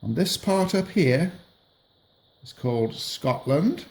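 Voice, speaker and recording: Slow, patient man teaching children. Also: fairly high pitch, expressive, gravelly. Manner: patient, gentle, narrates while drawing on a map. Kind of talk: slow explanatory lesson for children.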